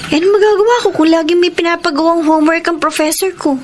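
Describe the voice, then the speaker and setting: High-voiced exasperated young Filipina wife. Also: female voice, fast talker, a little hiss or hum. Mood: defensive, exasperated. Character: young married student wife, defensive and exasperated. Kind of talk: Filipino radio drama acting.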